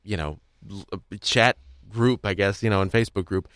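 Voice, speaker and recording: A young man casually hosting a podcast, talking in a rambling, halting way with frequent restarts. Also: on the low side, a bit bright, no echo, clean studio sound. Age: young adult. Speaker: podcast host.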